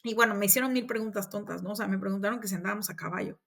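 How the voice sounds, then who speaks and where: A woman with a Mexican accent telling her own story in a casual conversation, with lively acted-out dialogue. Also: mid-range voice, rapid-fire, creaky. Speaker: interview guest.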